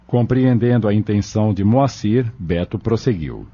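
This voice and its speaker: A man's voice: fairly low pitch, expressive, normal speed, slightly rough. A man reading scripted narration for an audio drama. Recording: muffled recording over soft music.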